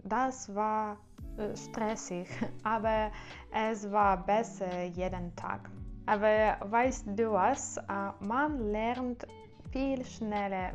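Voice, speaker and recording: A young woman whose native languages are Russian and Ukrainian, speaking with an accent in a casual vlog, careful and a little hesitant with fillers. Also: medium pitch. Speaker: vlogger.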